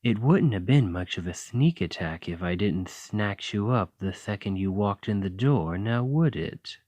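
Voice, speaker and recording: A man voicing a doting, teasing male dragon lover in an ASMR roleplay, talking softly and coaxingly. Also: on the low side, lively intonation, measured pace, no echo. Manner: soft, playful and coaxing.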